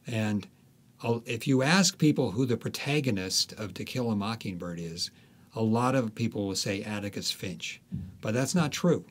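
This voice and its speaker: A man speaking as an author being interviewed, explaining reflectively with deliberate, halting phrases and frequent pauses. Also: lowish voice, slightly rough. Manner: halting, deliberate, pausing often.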